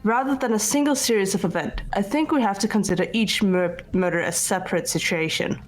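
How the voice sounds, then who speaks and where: A woman playing a composed, logical student detective in an amateur game dub, speaking in a measured way over music. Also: mid-range voice, a little hiss or hum, lightly acted. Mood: calm, analytical. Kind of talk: scripted amateur visual novel voice acting. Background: background music.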